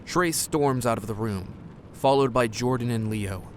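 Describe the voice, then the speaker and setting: A man narrates an audio drama from a script. His pitch is middling, and a clear rumbling noise runs behind his voice. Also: a little slow, a little mellow.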